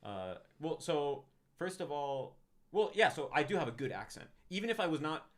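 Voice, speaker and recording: A man chatting casually on a live stream about language learning, talking in quick bursts and often restarting his sentences. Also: fairly high pitch, very animated, a bit bright. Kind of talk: casual livestream chat. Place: room in Tokyo, live stream.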